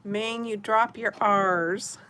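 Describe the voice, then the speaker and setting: Slow-talking chatty woman from Oregon. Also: medium pitch, fairly even intonation, bright, forceful tone. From Oregon, USA. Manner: chatty, giggly, rambling. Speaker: craft channel host. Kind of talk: casual chat with live viewers.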